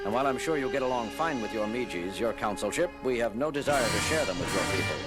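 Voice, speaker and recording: A man playing a firm, dryly wry starship captain with a crisp, authoritative manner in a science-fiction audio drama. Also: medium pitch, animated, fast, close to an everyday voice. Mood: measured. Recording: loud music bed under dialogue.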